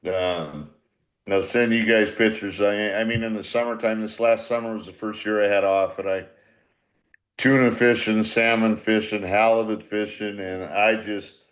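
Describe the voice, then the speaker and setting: An older American man in his sixties with a fairly low voice. He talks in a blunt, rambling way, salted with profanity, like a veteran coach chatting casually with a group. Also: steady tone, normal speed, fairly bright tone. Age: 60s. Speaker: veteran baseball manager hosting a reunion.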